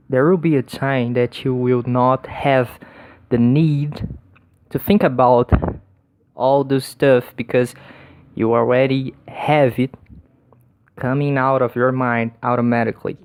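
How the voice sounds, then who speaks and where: Slow-talking man. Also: medium pitch, fairly even intonation, darkish tone, clean tone. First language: Portuguese. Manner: hesitant, many restarts and repeated words. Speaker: language learner sharing tips. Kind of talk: casual talk to camera. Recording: dull lo-fi recording.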